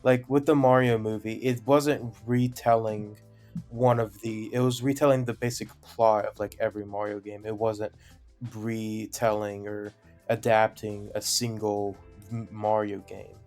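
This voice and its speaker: A man's voice: lowish voice, level tone, a little slow, darkish tone, faint music behind. A young man co-hosting a podcast, searching for words and trailing off. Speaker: podcast co-host. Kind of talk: casual chat.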